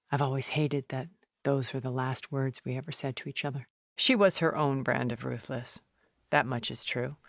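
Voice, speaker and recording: A woman playing a wealthy, cunning, ancient art connoisseur in a video game, speaking in a refined, measured, articulate way with a wistful temper. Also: low-pitched, no echo, studio quality. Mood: wistful, confiding. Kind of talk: video game character dialogue.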